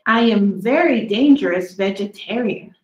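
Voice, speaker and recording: A woman reading a children's book of dinosaur poems aloud, drawing out her words deliberately for young listeners in a small room. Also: medium pitch, animated, reverberant, low-quality recording. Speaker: children's story reader.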